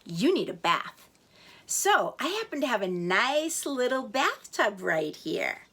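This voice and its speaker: A woman voicing a crafty male palace chef in a told folk tale, speaking low and drawn out. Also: big pitch swings, slow, a bit bright, breathy edge, heavily acted. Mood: coaxing.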